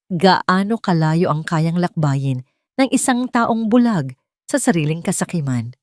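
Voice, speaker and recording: A woman's voice: lowish voice, lively intonation, measured pace, darkish tone, dry recording, clean studio sound. A woman reading a scripted first-person story as a dramatic narrator.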